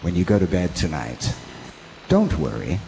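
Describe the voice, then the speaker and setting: A man's voice: sits low, wildly swooping pitch, normal speed, gravelly, warm tone, a little hiss or hum, poor audio. A man announcing a radio drama in a theatrical, formal showman's style like old-time radio.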